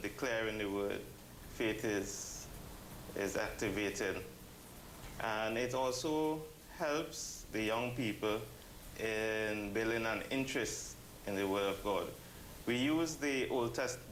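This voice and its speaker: A man answering as an interview guest, halting and phrase by phrase. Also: lowish voice, a bit bright. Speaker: interview guest, event committee member. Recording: roomy echo, faint ambient noise.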